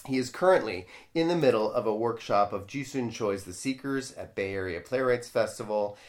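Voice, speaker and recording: A man's voice: fairly low pitch, normal speed. A man hosting an interview in a conversational style. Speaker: interview host.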